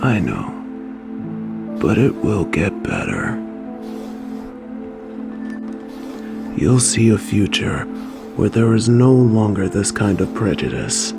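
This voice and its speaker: A man playing a tender, guilt-torn lover in an intimate audio roleplay, speaking in a hushed, halting, soothing way. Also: on the low side, vocal fry, full character voice. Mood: gentle, consoling. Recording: music under the voice.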